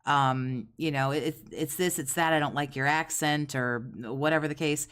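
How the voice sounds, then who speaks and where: Brief: low-voiced woman streamer, sarcastic and profane, mocking commentary on a desk mic. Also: fairly even intonation, normal speed, fairly bright tone, clear, resonant tone. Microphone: desk USB condenser mic with pop filter.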